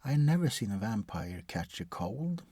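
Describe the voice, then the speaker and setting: A man playing a bored, world-weary, centuries-old vampire doctor in an ASMR roleplay, husky and breathy, often slipping into a whisper, with a deadpan, hesitant delivery. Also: fairly low pitch, moderate pace, no room sound. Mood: bored, dry.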